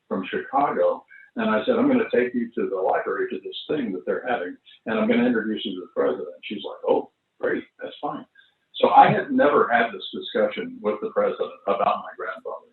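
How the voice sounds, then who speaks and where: An elderly man shares memories in a low voice. He talks as a casual, unhurried storyteller, pausing as he goes. The sound is thin and phone-like, with a roomy echo. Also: very animated. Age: 70s or older. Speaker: veteran performer telling stories. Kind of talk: casual reminiscing at an online launch party. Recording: roomy, distant, phone-like internet audio.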